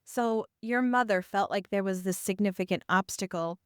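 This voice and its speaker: A woman's voice: medium pitch, moderate pace, clear, resonant tone, dry recording, studio quality. A woman hosting a podcast interview, curious and encouraging, asking follow-up questions. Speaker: podcast host.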